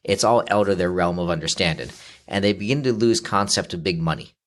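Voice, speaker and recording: A man in his fifties, a financial advisor explaining things in a conversational talk, steady and explanatory with frequent fillers. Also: low-pitched, fairly quick. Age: 50s. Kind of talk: conversational interview.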